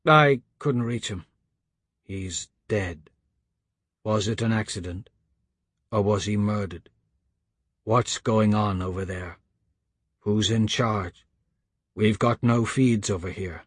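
A man voicing an older man in authority for an audiobook, soft and measured, accusing with cold menace. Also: on the low side, dry recording, studio quality. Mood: cold, suspicious. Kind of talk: audiobook character dialogue.

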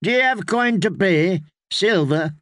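A male narrator plays a young innkeeper girl from a storybook. The voice is raised high and close, dry in sound. The girl is weary beyond being a child, blunt and wary. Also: expressive, a little slow, a bit bright, no echo. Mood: demanding, wary. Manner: blunt, questioning, demanding.

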